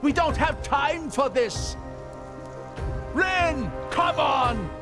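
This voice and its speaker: An actor playing a loyal, compassionate male travelling companion in a video game cutscene, earnest and expressive in his delivery. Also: very high-pitched, drawn-out words, over music, heavily acted. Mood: urgent.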